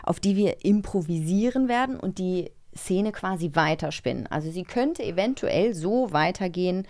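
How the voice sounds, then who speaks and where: A woman co-hosting a podcast in casual, animated conversation. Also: on the low side, moderate pace. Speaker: podcast co-host.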